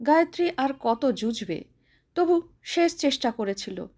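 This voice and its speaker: A female storyteller with a distinctly high voice reads a short story aloud. Her narration is expressive, in the manner of someone telling a tale to listeners. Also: a little slow.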